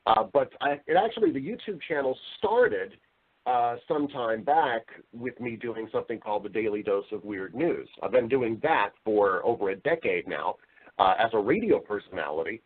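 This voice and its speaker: A man's voice: on the low side, expressive, moderate pace, breathy edge, narrow, tinny audio. A man speaking as a voiceover professional and interview guest, chatty and conversational, with some self-deprecating humor.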